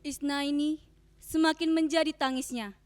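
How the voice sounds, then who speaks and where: A woman with a high voice narrates a scripted drama. She reads out stage directions as the storyteller, with soft guitar music behind her. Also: fairly even intonation, normal speed.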